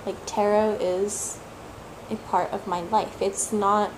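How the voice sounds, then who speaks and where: A woman for whom English is a third language, talking slowly and reflectively as a tarot reader, repeating words for emphasis and sometimes searching for them. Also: medium pitch, level tone, background noise. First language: not English; English is her third language. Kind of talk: slow reflective monologue.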